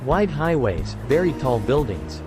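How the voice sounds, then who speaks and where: A man's voice: mid-range voice, sing-song, unhurried. A man reading scripted first-person story narration. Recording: music bed under the voice. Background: music.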